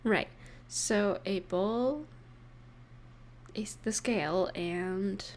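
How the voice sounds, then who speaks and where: A woman voicing an affectionate, playful partner in an intimate roleplay audio, speaking gently and teasingly to the listener with pauses. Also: medium pitch, a little hiss or hum. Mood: cheerful, playful.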